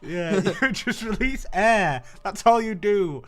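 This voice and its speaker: A man's voice: sits high, animated, slow, fairly bright tone. A man co-hosting a gaming video with casual, joking commentary. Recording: game music clearly behind the voice.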